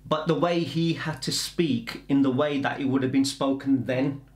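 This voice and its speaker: A middle-aged man giving a casual film trailer review in halting, rambling explanations. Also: mid-range voice, steady tone, darkish tone. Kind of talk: casual reaction chat. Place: indoor room with bookshelves. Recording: echoey indoor room.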